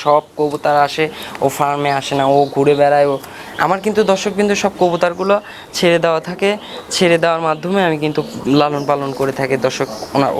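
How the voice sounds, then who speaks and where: A man with a Bangladeshi accent hosting an informal farm video, often repeating himself as he addresses his viewers. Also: fairly high pitch. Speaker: pigeon keeper and farm channel host. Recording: noisy outdoor ambience with birdsong. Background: birds and ambient noise.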